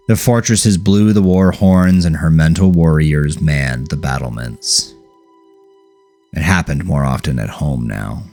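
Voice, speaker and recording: A man reading scripted horror fiction as an audiobook narrator. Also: deep voice.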